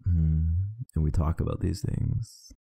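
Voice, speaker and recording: A tired man talking softly and intimately, close to the mic, in an affectionate boyfriend roleplay. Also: extremely low pitch, level tone, slow, creaky, husky, dry recording. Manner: soft, intimate, affectionate murmuring. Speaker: ASMR roleplay performer.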